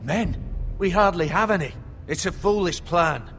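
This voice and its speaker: A shrewd, persuasive advisor. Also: male voice, sits high, lively intonation, fairly bright tone, faint music behind, heavily acted. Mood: measured. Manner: measured, persuasive, earnest. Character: shrewd cautious male advisor, sworn knight.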